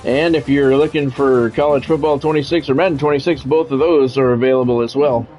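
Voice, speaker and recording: A man's voice: mid-range voice, steady tone, fairly quick, darkish tone, lo-fi sound, soft background music. A man doing play-by-play of a football video game on a live stream, mixing in plain-spoken, grumbling chat. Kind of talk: sports play-by-play commentary mixed with casual stream chat.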